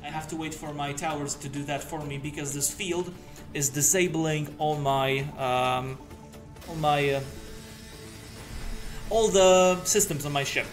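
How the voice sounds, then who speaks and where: A man's voice: a little high, measured pace. A young man from Poland, a game developer chatting casually as he plays and explains the game. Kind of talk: casual live commentary over gameplay. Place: indoor room. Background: game music and effects.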